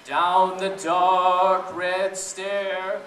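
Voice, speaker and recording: A man reading a picture book aloud to a group of children in a playful, chatty way, pausing to ask them questions. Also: high voice, little pitch movement, fairly bright tone. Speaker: storybook reader to children. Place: echoey room with an audience of families. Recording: roomy, distant event recording with audience noise.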